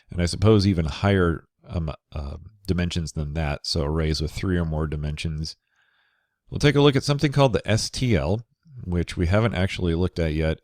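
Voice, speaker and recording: A man teaching a programming course over slides, sounding nasal and a bit crackly from a cold, talking in a relaxed way with many fillers. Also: low-pitched, dry recording, clean studio sound. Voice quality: slightly crackly. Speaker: programming instructor. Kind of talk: recorded lecture over slides.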